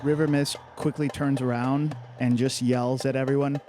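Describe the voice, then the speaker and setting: A man's voice: mid-range voice, level tone, normal speed, warm tone, soft background music. A man playing a tabletop game, casually narrating what his characters do.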